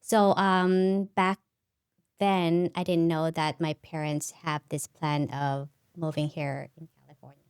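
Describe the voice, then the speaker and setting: A middle-aged woman from the Philippines, a native Tagalog speaker, tells her life story in relaxed conversation. Her voice is smooth and somewhat low, with frequent laughs and tag questions. The recording is close and dry. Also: dry recording. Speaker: podcast guest. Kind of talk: casual interview storytelling.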